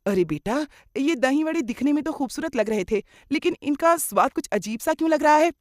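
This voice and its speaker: A woman's voice: high voice, lively intonation, brisk, no echo, studio quality, pitched above the performer's own voice. A woman playing a gossipy, effusive middle-aged aunt who chatters and exclaims, in a moral cartoon story. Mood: puzzled.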